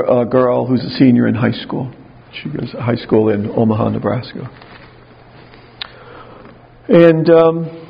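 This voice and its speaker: An older man with a very low voice giving a conversational spiritual talk to an audience of clergy, with wry, self-deprecating humor. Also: gravelly, husky, lo-fi sound. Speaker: speaker at a gathering of clergy.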